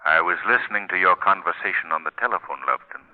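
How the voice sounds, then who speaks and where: A man acting a suave, assured crime-fighter in a 1930s radio drama, speaking in a measured, formal, probing way. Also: sits low, natural voice. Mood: accusing. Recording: muffled vintage 1930s radio recording.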